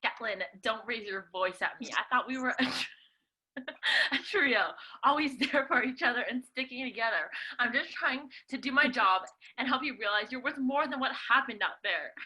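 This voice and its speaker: Female co-reader, very high and bright with a husky edge, giggly amateur line reading. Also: normal speed, low-quality recording. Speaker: co-reader voicing story characters. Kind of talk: amateur scripted read-aloud with casual asides.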